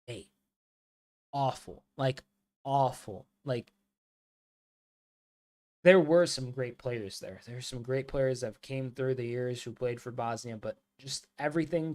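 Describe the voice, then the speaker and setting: A man's voice: medium pitch, a little mellow. A man from the States, hosting a live football watchalong and chatting loosely with many fillers. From United States. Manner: casual, rambling, many "like" fillers, calls viewers mate and bro. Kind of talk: live match watchalong commentary and chat.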